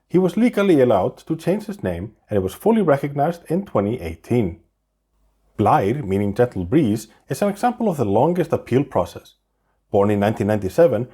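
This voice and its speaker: A man from Iceland with an Icelandic accent, narrating a scripted explainer video in a lively, wry, chatty way. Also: mid-range voice, breathy edge. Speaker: video host.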